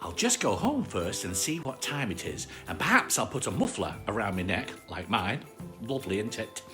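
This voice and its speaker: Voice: mid-range voice, sing-song, normal speed, over music, voice pushed down, close to an everyday voice. A storyteller voicing a cheerful, muddled toy bear, earnest and singsong, from a children's storybook. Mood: musing.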